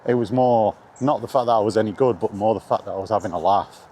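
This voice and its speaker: British bloke middle aged, relaxed dark tone, bit sweary and meandering, chatting outside w faint ambient noise. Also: male voice, fairly low pitch, fast talker. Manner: informal, meandering, mildly sweary. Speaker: video maker chatting about video games. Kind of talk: casual rambling vlog chat. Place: outdoors in the rain, walking.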